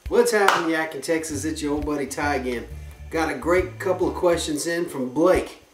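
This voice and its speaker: A man's voice: a little high, normal speed, roomy sound, far from the mic, over music. A man in his thirties hosting a kayak fishing show, answering viewer questions to camera in an upbeat, chatty way from his living room. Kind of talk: casual Q&A to camera. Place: living room, seated on a couch.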